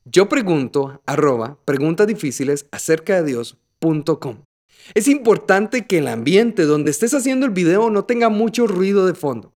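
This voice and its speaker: A Costa Rican man speaks with a notably high voice for a male. His intonation moves up and down a lot. He narrates like a podcast host working from a script, explaining things methodically, step by step, in a didactic manner. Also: a little mellow. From Costa Rica.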